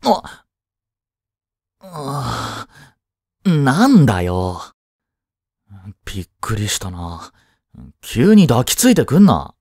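A man acts out a high school boy in a romantic audio drama, the childhood friend with a jealous streak. He is blunt and casual but easily flustered. His delivery takes its time, with a very mobile pitch, in a close, dry recording. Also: huge pitch swings, extremely slow, creaky, pauses often, darkish tone, dry recording, heavily acted. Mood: grumpy, sleepy. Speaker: voice drama actor. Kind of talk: Japanese audio drama acting for women listeners.

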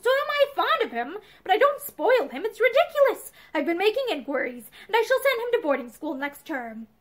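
A woman's voice: high-pitched, sing-song, a little fast. A woman voicing an irritable, condescending husband in a storybook, with a testy, domineering manner.

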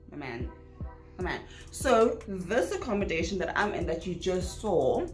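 A young South African woman with a South African accent chatting in a casual Q&A vlog, animated and quick to laugh, filling her talk with "like". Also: fairly low pitch, echoey room. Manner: animated, laughing bursts, lots of "like". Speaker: vlogger. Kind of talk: casual Q&A chat. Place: small bedroom indoors. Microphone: laptop camera at desk distance.

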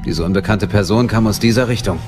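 Low-voiced actor as methodical military investigator. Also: male voice, fast talker, natural voice. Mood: businesslike. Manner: methodical, matter-of-fact. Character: businesslike male military investigator reporting findings. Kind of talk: audio drama dialogue. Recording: loud music behind the voice.

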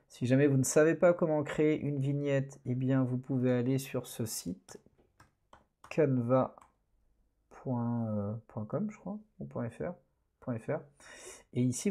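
Man, course instructor, mellow voice, relaxed and halting screen walkthrough. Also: mid-range voice. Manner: relaxed, hesitant, many fillers. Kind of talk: screen-recorded tutorial narration.

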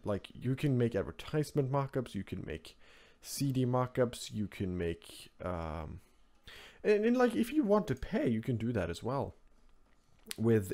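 A man from Norway hosting a casual software tutorial in English as a second language, talking in a rambling, offhand way with informal asides. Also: mid-range voice, very animated, moderate pace. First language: Norwegian. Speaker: tutorial host. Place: indoors at a computer, screen recording voice-over.

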